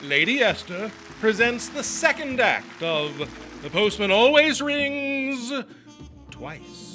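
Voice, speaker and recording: A courtly old-time radio announcer acted by a man, dramatic and extremely slow. The voice is fairly high, with clear music playing behind it. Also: sing-song, pushed and bright, exaggerated voice. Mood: grand.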